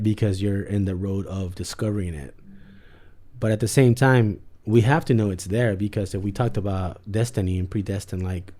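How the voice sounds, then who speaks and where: Forties male guest, deep-ish and mellow, thinks out loud in loose rambling sentences. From San Jose area, US. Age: 40s. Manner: rambling, lots of "like" and "you know what I mean". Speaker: guest artist. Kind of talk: casual reflective conversation.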